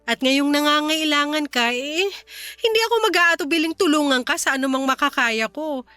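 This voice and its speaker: A woman's voice: high-pitched, moderate pace, sharp tone, no echo. A woman acting a kind, reassuring company boss in a Filipino radio drama, earnest and composed, with a Filipino accent. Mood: earnest, appreciative.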